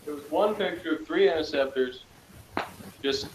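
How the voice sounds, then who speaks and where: A man's voice: a little high, moderate pace. A man co-hosting a casual review chat, rambling in a chatty way with sarcastic asides. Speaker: podcast co-host. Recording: echoey room with faint noise.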